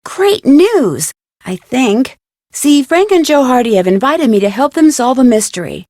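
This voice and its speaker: A young woman playing a plucky, curious amateur detective in scripted video game dialogue, with a clear, earnest, chatty delivery. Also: sits high, big pitch swings, unhurried, a little mellow, no echo, studio quality. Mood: excited, slightly uneasy. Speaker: voice actor as game heroine.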